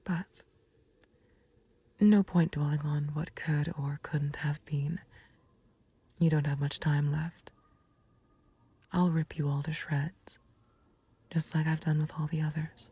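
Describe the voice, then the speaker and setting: A woman playing a calm, taunting villain in an intimate roleplay audio, speaking in a breathy near-whisper with unhurried condescension and mock sympathy. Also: sits low, no room sound, heavily acted. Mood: cold menace. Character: calm, taunting female villain, menacing yet fond of a friend. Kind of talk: scripted roleplay audio acting, intimate ASMR.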